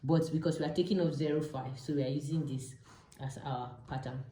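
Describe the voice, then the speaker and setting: A woman's voice: low-pitched, fairly even intonation, a little fast, warm tone. A Nigerian woman with a Nigerian accent giving an informal, step-by-step lesson as an instructor, repeating key terms as she explains. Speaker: pilot and aviation instructor. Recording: echoey, reverberant room.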